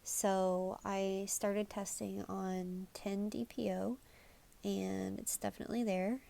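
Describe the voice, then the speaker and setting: A woman's voice: level tone, slow, gravelly, warm tone. Nasal, stuffy-nosed woman vlogger. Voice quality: high, girlish voice. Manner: chatty, many fillers, apologetic. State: congested from sneezing. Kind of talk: casual talk to camera. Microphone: phone.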